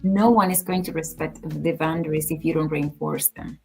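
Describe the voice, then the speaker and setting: A woman co-hosting a podcast, talking in a measured, earnest, advice-giving way in casual conversation. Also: deep voice, steady tone, gravelly, mellow, soft background music. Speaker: podcast co-host.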